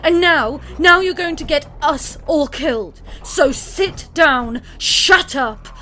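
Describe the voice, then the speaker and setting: High-voiced, commanding young woman survivor played by an actress. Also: expressive, slow pace, sharp tone, slight background noise, exaggerated voice. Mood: furious, commanding. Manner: commanding, firm. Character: tough, protective big sister among zombie apocalypse survivors. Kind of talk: audio drama acting.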